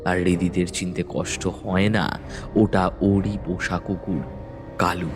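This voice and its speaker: A man with a very low voice narrates a rural horror tale. His delivery is measured and builds suspense. Clear music and insect sounds run underneath. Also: little pitch movement.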